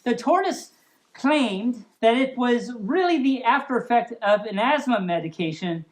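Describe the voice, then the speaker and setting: Animated high-voiced woman storyteller. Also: measured pace. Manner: playful, silly, expressive. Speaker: storyteller, host of a storytime series. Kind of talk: storybook reading with playful ad-libbed asides.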